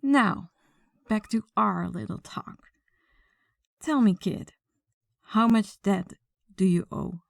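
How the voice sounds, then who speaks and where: Woman playing a slow, menacing female mafia boss. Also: mid-range voice, gravelly, no room sound, studio quality. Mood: stern, businesslike. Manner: deliberate, condescending, quietly menacing. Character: commanding, mocking female mafia boss interrogating a captive. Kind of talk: ASMR roleplay audio drama.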